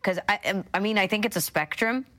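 A woman's voice: mid-range voice, brisk, a bit bright. A woman co-hosting a conversational radio discussion, sharing thoughts and putting questions to a guest. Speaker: co-host.

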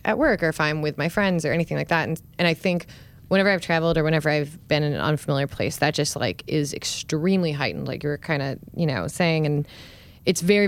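A woman with a notably low voice chatting casually on a podcast. She leans on "like" and "you know" as she thinks aloud, in a relaxed, conversational way. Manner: chatty, lots of "like" and "you know", quick to laugh. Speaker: journalist, podcast guest.

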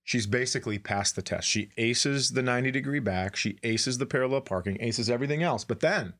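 A man's voice: medium pitch, brisk, fairly bright tone. A middle-aged American man co-hosting a podcast, telling comic stories casually in a wry, profane way and acting out the dialogue. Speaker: podcast co-host. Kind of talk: casual comedic storytelling.

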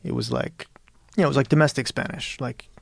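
A man's voice: medium pitch, fast, creaky, no room sound. A man from Peru talking as a writer in an interview, thoughtful and searching, often restarting and adding "you know". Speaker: guest writer. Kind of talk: reflective interview conversation.

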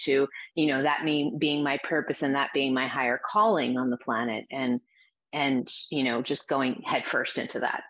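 A middle-aged woman from the Azores talking as an interview guest, reflective and halting with many restarts. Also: low-pitched, a bit bright. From Azores, Portugal. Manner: reflective, with frequent restarts and fillers. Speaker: guest, spiritual teacher and coach. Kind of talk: interview answers. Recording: dull lo-fi sound.

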